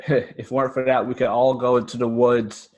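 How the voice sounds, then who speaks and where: A man's voice: medium pitch, flat delivery, fairly quick, a little mellow, lo-fi sound, a little hiss or hum. An American man speaking casually and earnestly as an interview guest. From United States. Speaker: podcast guest, fiction writer and podcaster.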